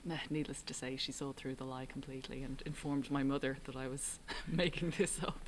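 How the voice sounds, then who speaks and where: Low-voiced Irish woman, thoughtful. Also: fairly even intonation, a little hiss or hum. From Ireland. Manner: thoughtful, halting, with frequent ums and restarts. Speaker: writer and director, interview guest. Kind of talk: relaxed reflective interview answers.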